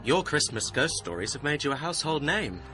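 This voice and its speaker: A man's voice: a little high, sing-song, a little fast, sharp tone, soft background music. An actor playing a breezy, slightly sheepish young male university student who grumbles a lot. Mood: grumbling. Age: young adult. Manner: breezy, complaining, a bit sheepish. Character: cheeky young male Cambridge undergraduate. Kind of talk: radio drama acting.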